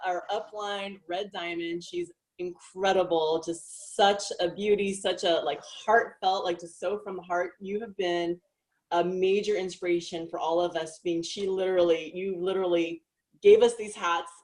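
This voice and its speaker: A woman's voice: on the low side, normal speed, darkish tone, low-quality recording. A woman hosting a casual live training chat, talking in an enthusiastic, gushing way. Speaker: host of a team training live.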